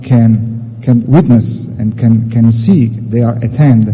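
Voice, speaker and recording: A man in his fifties with an Italian accent, a military commander giving a formal speech in halting, non-native English and repeating words. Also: medium pitch, mellow, breathy edge. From Italy. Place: large indoor ceremony hall. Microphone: podium microphone. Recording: reverberant hall sound.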